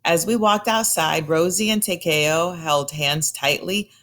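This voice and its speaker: A woman reading a children's picture book aloud in an expressive storytelling style. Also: fairly low pitch, a little slow. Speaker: storybook reader.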